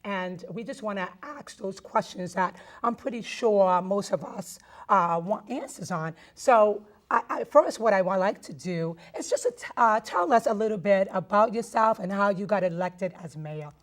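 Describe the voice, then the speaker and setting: A woman's voice: medium pitch, expressive, moderate pace, few pauses. A woman hosting a public-access TV talk show, enthusiastic and a little repetitive as she introduces her guest. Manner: enthusiastic, repeats herself, short affirmations.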